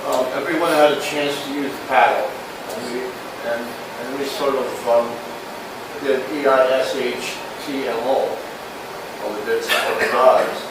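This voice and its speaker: A man's voice: mid-range voice, steady tone, unhurried. A man offering comments in a casual club discussion, speaking hesitantly. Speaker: club member commenting on a class. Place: club meeting room, far from mic. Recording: echoey room, distant mic, background noise.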